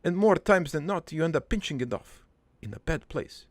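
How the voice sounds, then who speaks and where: A man voicing a middle-aged, foreign-born cartoon father who rambles through his life story in a deadpan, halting, improvised monologue with a gravelly voice. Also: a little high, no room sound, natural voice. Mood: earnest, crude. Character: rambling, crude, wistful foreign-born immigrant father named Joey.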